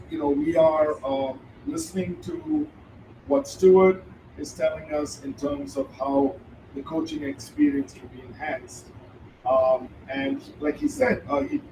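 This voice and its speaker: Halting middle-aged man, a founder, addressing a room; heard from a distance with room echo and light background hiss. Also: fairly high pitch, creaky, dark tone. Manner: hesitant, many fillers, apologetic. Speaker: founder of the treadmill venue. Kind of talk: speaking to a live audience. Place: event room with audience. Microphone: handheld mic. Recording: roomy hall, voice from a distance, faint noise.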